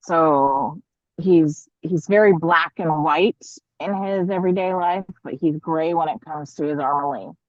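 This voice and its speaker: Slow, low-voiced woman, dull lo-fi audio. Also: dry recording. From United States. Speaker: guest, indie author. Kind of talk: casual interview answers.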